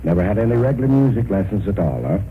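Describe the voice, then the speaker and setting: A man's voice: fairly low pitch, very animated, rapid-fire. A man hosting an old-time variety radio show with a folksy, coaxing, avuncular manner. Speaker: radio show host introducing and interviewing a performer. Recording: narrow, noisy vintage radio recording.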